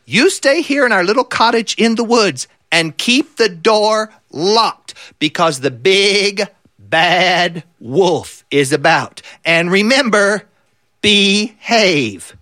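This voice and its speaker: Man playing a mama goat, high sing-song, motherly and bossy, dry sound. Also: expressive, slow, bright, forceful tone, no echo, full character voice.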